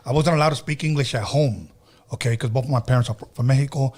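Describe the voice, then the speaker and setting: A man's voice: mid-range voice, quick pace, a little mellow. A man in his fifties with a Chicano Californian accent, a native Spanish speaker, hosting a casual interview in blunt, slangy talk with bits of Spanish. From California, United States. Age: 50s. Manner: blunt, informal, sprinkles in Spanish. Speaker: radio podcast host.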